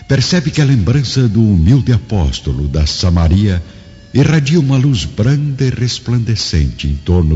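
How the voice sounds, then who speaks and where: Very deep, mellow male narrator. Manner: solemn, literary delivery. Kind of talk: scripted radio drama narration. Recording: dull, long reverb, music behind.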